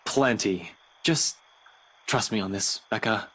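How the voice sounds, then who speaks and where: A man's voice: on the low side, slow pace, fairly bright tone, slightly rough, heavily acted, poor audio. A man voicing a brooding, evasive young man in a visual novel, stern and worried, speaking in a guarded, serious way.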